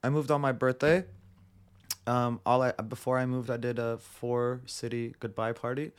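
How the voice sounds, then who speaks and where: A man's voice: medium pitch, normal speed, smooth. A young man raised in Montreal, a podcast guest telling stories in casual chat, blunt and profane, acting out the dialogue. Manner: animated, acts out quoted dialogue.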